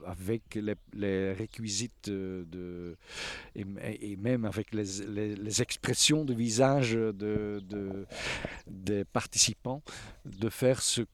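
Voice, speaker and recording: Guy, low-ish voice, super slow, keeps restarting and hunting for words in an interview. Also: no echo. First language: Flemish. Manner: halting, searching for words, frequent restarts. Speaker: theatre observer interviewee. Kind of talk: reflective interview answers.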